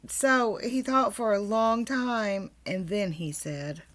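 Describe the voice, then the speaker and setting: Female storyteller for children's books, very unhurried pace, mid-range voice, expressive, chatty asides to little listeners. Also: medium pitch.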